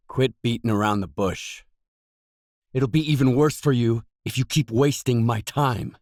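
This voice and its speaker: An actor voicing a gruff, guarded stalker mercenary in a video game, blunt, terse and no-nonsense. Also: male voice, on the low side, a little mellow, no room sound, clean studio sound. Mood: terse, businesslike, then irritated, suspicious. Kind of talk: video game dialogue lines.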